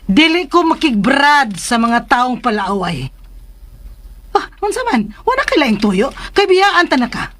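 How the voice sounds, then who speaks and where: A woman playing a protective, sharp-tongued middle-aged mother in a Filipino radio drama, with questioning, scolding interjections. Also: sits high, sing-song, a little slow, exaggerated voice. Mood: annoyed.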